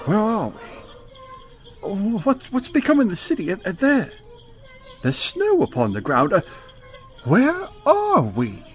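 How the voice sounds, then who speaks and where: Music plays under an elderly, remorseful miser in a radio play. His pitch leaps and sinks dramatically as he stammers along slowly, haltingly and full of feeling. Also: wildly swooping pitch, heavily acted. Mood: bewildered. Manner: stammering, halting, highly emotional. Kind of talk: theatrical radio drama acting.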